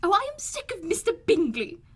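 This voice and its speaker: Woman playing a shrill, excitable fretful mother. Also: normal speed, heavily acted. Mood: exasperated. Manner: shrill, gushing, exclamatory. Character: excitable, fretful middle-aged mother, easily offended. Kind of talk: audiobook character voice.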